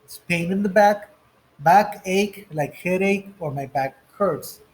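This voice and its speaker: A man's voice: high voice, takes it slowly, poor audio. A man teaching an English lesson, going through textbook exercises step by step and reading the example phrases aloud.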